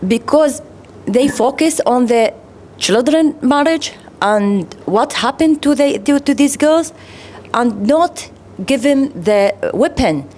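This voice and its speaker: Slow, halting Arabic-accented woman. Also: high-pitched, lively intonation, a little mellow, a little hiss or hum. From Iraq. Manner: halting, many fillers and restarts. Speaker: round-table participant. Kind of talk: panel discussion remarks.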